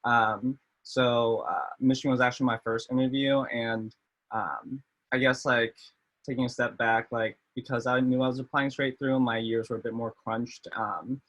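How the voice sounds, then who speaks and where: A man's voice: mid-range voice, flat delivery, moderate pace, fairly bright tone, dull audio. A young man in his twenties from Maryland, a medical student on a panel, talking loosely with many fillers and restarts over a video call. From Maryland, USA. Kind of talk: casual panel talk.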